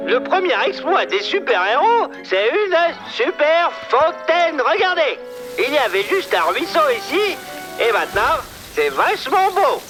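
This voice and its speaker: A man playing a boastful, excitable young flying dinosaur who fancies himself a superhero, with a bossy, swaggering manner, in a children's cartoon dub. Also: extremely high pitch, measured pace, music in the background, exaggerated voice, rough recording. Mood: proud. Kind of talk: children's animated series dub.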